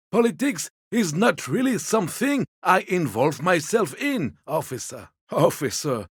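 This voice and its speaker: An elderly, obliging video game character with a fairly high, husky voice. He takes his time and swings widely in pitch, always mild, deferential and a little evasive. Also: dry recording, studio quality, full character voice. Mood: polite, evasive. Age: older. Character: elderly, meek, polite, evasive old man.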